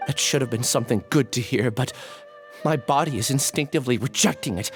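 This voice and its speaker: A man's voice: mid-range voice, fairly quick, faint music behind, close to an everyday voice. A man playing a troubled, apologetic young student in a video game, speaking hesitantly.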